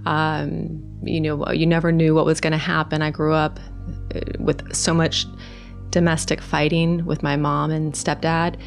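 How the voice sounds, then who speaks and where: A middle-aged woman with a Norwegian accent recounts her life in a soft, hesitant way, pausing on fillers and restarting her sentences. Her voice sits low and stays fairly flat in pitch. Faint music plays quietly beneath her. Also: creaky. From Norway. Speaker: guest. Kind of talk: personal testimony in conversation.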